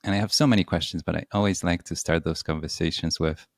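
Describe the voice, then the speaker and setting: A man with a Polish accent hosting a podcast, asking measured, open questions of his guest. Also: low-pitched, steady tone. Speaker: podcast host. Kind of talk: interview.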